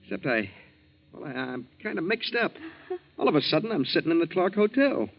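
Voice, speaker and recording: Swooping, slangy young radio-drama fellow. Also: male voice, on the high side, very animated, fairly quick, noisy background. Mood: breezy, mixed up. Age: young adult. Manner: casual, slangy. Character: breezy, befuddled young man, slangy and stubborn. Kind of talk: 1940s radio acting. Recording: muffled 1940s radio recording.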